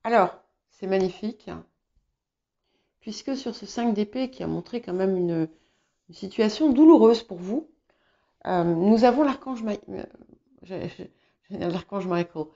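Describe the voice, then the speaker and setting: A woman's voice: mid-range voice, animated, measured pace, creaky, long pauses, mellow. A woman whose first language is English, giving an informal tarot reading in a hesitant, reassuring manner, often searching for words. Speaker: tarot reader hosting guidance videos. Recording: slightly dull, muffled sound.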